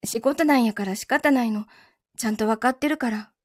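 A woman acts out an understanding, quietly lonely girlfriend in a radio drama. Her fairly high voice stays composed and gentle, with a Kansai accent, recorded close and dry. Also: fairly quick, no room sound, natural voice. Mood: understanding, reassuring. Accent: Kansai Japanese. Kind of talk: Japanese voice drama acting with inner monologue.

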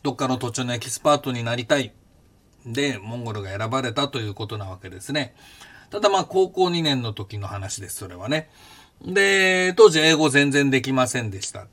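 A man in his fifties, hosting a podcast and recounting his past in a casual, blunt and animated way. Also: medium pitch, brisk. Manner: animated, blunt storytelling with rough casual speech. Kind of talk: casual spoken memoir.

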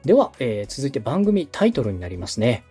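A man with a mellow, slightly husky voice explains things in an orderly, polite coaching manner. His pitch moves animatedly as he summarizes each point, with faint music behind him. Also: big pitch swings, a little fast. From Osaka, Japan. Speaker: podcast host, radio director coaching a podcaster. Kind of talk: structured, explanatory coaching talk.

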